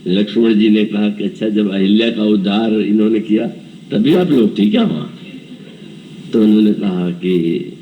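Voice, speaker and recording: A man's voice: fairly low pitch, normal speed, far from the mic, phone-quality sound, a little hiss or hum. A man in his seventies giving a devotional scripture discourse, telling the story and acting out characters' dialogue. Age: 70s. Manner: storytelling, voices characters' dialogue and chants verses. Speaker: preacher.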